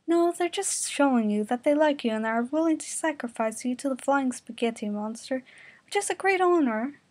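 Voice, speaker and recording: A woman's voice: sits high, animated, normal speed, darkish tone. A woman voicing a serious, screwball woman who explains things matter-of-factly in a story reading. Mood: earnest. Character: coolly serious, screwball woman defending cannibals.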